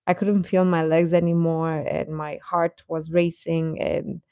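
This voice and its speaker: Female livestream host: casual, playful, unhurried delivery, mid pitch, dry sound. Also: level tone, dark tone, clean tone, no echo. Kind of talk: casual chat with live viewers.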